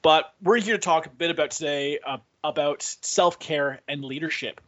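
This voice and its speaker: Podcast host, male, pitched a bit above average, keen and conversational interview delivery. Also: normal speed, fairly bright tone, lo-fi sound. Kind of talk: scripted-sounding podcast intro and interview chat.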